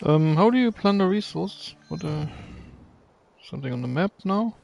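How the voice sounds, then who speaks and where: A man's voice: on the high side, lively intonation, moderate pace, vocal fry, a little mellow, highs cut off. A man doing casual, unscripted gameplay commentary, muttering and thinking aloud to himself.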